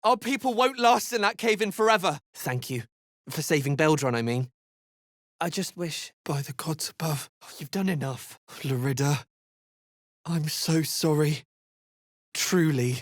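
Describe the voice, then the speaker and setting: A woman playing a desperate, grieving deep gnome in a video game, earnest and pleading. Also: animated, a little slow, long pauses, no echo, studio quality. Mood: quiet grief.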